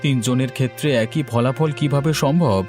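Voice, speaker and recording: A man's voice: medium pitch, fairly quick, a little mellow, soft background music, lightly acted. A man playing a devout, anxious king and father in a fairy-tale cartoon, with a theatrical, deferential and pleading manner. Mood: worried, pleading.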